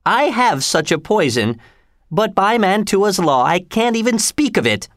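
A man's voice: high voice, very animated, measured pace, fairly bright tone, dry recording, clean studio sound, full character voice. A man reading aloud, voicing a poor apothecary who speaks cautiously. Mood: wary. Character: poor male apothecary, wary and secretive.

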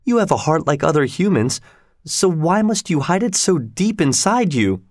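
A man voicing a compassionate woman servant in a storybook, sorrowful but frank, speaking gently and earnestly. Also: on the high side, very animated, moderate pace, no room sound, clean studio sound, voice pushed up. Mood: tearful, pitying.

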